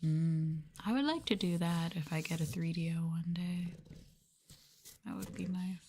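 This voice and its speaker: Soft breathy woman doing asmr chat, gentle n subdued, creaky, drifts into whispers, close mic w a bit of hiss. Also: lowish voice, unhurried. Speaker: streamer and host of a live ASMR stream. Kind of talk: ASMR soft talking, casual chat with viewers. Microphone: close ASMR microphone.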